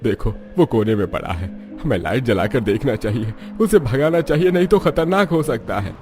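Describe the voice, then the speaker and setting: A woman voicing a panicky middle-aged village father-in-law, shouting in alarm in a cartoon. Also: very deep voice, animated, normal speed, faint music behind, voice pushed down.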